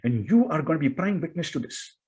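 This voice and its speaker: Man putting on a high, bombastic news anchor. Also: expressive, a little fast, breathy edge. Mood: bombastic, demanding. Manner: loud, urgent, theatrical. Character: bombastic prime-time TV news anchor demanding the nation's attention.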